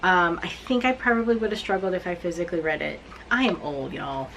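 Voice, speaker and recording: Blunt, low-pitched woman in her forties. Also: fairly quick, slight background noise, rough recording. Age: 40s. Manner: blunt, animated, self-mocking. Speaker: book reviewer. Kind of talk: casual book recap to camera.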